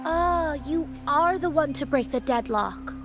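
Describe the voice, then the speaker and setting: High, unhurried, playful girl mystic. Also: expressive, music in the background. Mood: knowing, cryptic. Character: playful, cryptic young female Taoist mystic. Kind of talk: video game character acting.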